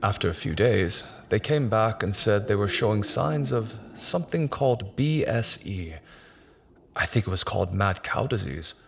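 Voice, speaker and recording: A man's voice: fairly low pitch, animated, moderate pace, vocal fry. An actor playing a grave, hesitant construction company man recalling something grim in an audio drama. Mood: sombre, haunted. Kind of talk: naturalistic audio drama dialogue, recounting in an interview.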